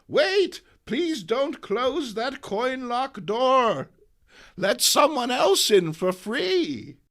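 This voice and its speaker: A man's voice: very high-pitched, very animated, extremely slow, a bit bright, pitched above the performer's own voice, exaggerated voice. A man voicing a feisty, righteous older woman with old-fashioned, exclamatory talk in a comic book reading. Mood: defiant. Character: feisty, righteous old woman crusader, sweet but indignant.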